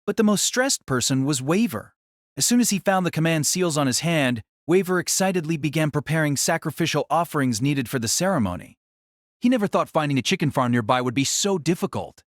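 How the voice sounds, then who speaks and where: A man's voice: on the high side, expressive, a little fast, no echo, clean studio sound. A man narrating a light novel in a dramatic, scripted storytelling style.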